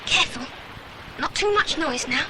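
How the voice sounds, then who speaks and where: A woman's voice: high voice, expressive, normal speed. A woman voicing a plucky, decisive boy who leads the others in a children's audio adventure drama. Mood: brisk, commanding. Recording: very noisy older dramatised recording.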